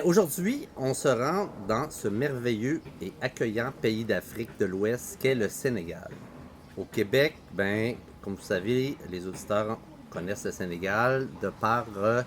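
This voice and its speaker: A middle-aged man with a Québécois accent, hosting a casual podcast conversation with a relaxed, hesitant manner and many fillers. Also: fairly low pitch, a little slow. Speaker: podcast host. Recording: faint background noise.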